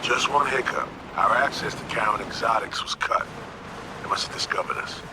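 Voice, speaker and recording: A watchful veteran spymaster from a video game thriller, acted by a man. His voice is fairly low, and he gives orders in a measured, businesslike way. Clear ambient noise runs behind him. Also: natural voice. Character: composed veteran male spy handler, businesslike and watchful. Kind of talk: cinematic video game acting.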